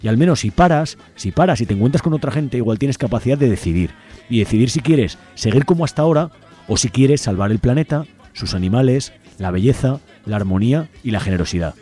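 A man's voice: mid-range voice, animated, fairly quick, mellow, slightly rough, faint music behind. A man with an accent from Spain hosting activist radio, speaking in an impassioned way with rhetorical lists. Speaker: radio host. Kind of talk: activist radio talk with phone-in.

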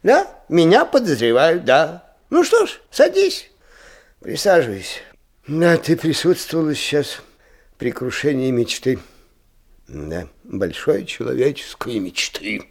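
An actor playing an eccentric old schoolteacher-inventor in a radio play, agitated and dreamy, muttering haltingly and repeating himself between exclamations. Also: male voice, on the high side, huge pitch swings, exaggerated voice. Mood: flustered, suspicious. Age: older. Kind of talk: theatrical radio play acting.

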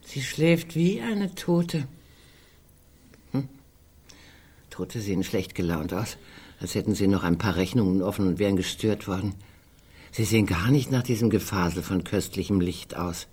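Dry, sharp-tongued older woman. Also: very deep voice, lively intonation, slightly rough, full character voice. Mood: dry, morbid. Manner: dry, caustic, deliberate. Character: sharp-tongued, dry, morbid elderly sister Greta. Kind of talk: naturalistic radio play acting.

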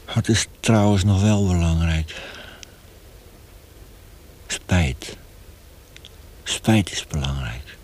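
An actor playing a feverish, tortured young male prisoner facing death in a radio drama, speaking haltingly with hushed passages. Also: deep voice, expressive, exaggerated voice. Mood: resigned, regretful.

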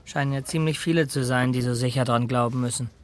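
An actor playing a wary, skeptical criminal accomplice in a radio drama, with a sullen manner. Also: male voice, medium pitch, fairly even intonation, fast talker, lightly acted. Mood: sullen, doubting.

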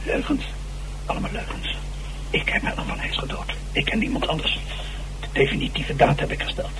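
A man's voice: a little fast, natural voice. Guy acting as a worked up old theatre man, boastful n stammering, radio play, bit of hiss and hum. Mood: desperate, defiant. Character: agitated, boastful old actor falsely confessing to murder. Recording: noisy, humming old radio recording.

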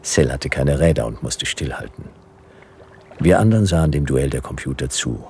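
Very deep, gravelly hard-boiled detective. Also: male voice, animated, moderate pace, darkish tone, slight background noise. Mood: dry, suspenseful. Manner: terse, dry, clipped sentences. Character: hard-boiled, laconic male detective narrating his case. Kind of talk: noir radio drama narration, scripted.

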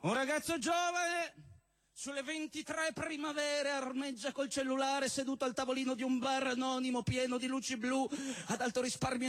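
A man with a strikingly high-pitched voice performs satirical poetry live. He declaims every phrase with theatrical emphasis. He stretches key words and is prone to raising his voice into shouts. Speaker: spoken word poet and performer. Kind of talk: theatrical spoken-word performance of satirical poems. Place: live stage at a cultural venue event.

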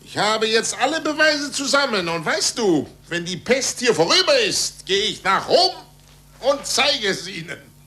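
An actor playing an impassioned, urgent astronomer in a 1940s radio play, speaking emphatically. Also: male voice, high voice, sing-song, measured pace, heavily acted. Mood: excited, triumphant. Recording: husky-edged, bright 1940s radio recording.

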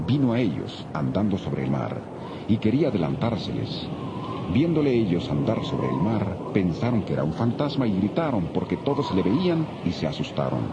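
Deep, expressive solemn male narrator. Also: creaky, very noisy. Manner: solemn, measured storytelling. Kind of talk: dramatized scripted Bible narration. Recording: dull lo-fi audio, faint music.

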